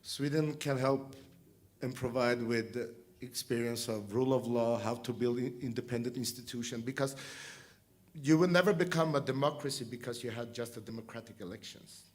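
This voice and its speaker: A man's voice: medium pitch, moderate pace. A man in his thirties, a native Armenian speaker, giving an earnest, plain-spoken speech as a member of parliament on a conference panel. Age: 30s. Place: conference hall.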